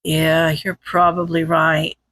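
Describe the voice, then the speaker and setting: A woman's voice: mid-range voice, measured pace, bright, forceful tone. A woman voicing a tired, serious male hero on an urgent phone call in an audiobook-style story, speaking tersely. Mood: weary, resigned.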